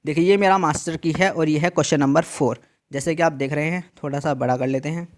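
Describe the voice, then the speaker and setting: Slightly high-voiced man tutoring step by step. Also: steady tone, fast. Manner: repetitive, emphatic instructions with frequent "theek hai" check-ins. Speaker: exam tutor. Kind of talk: screen-share tutorial walkthrough.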